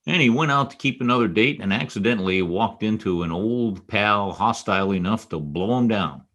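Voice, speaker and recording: Man acting as private detective, lowish pitch, wry, hard-boiled, dogged, old-time radio drama. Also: moderate pace, a bit bright. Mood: dry, wry.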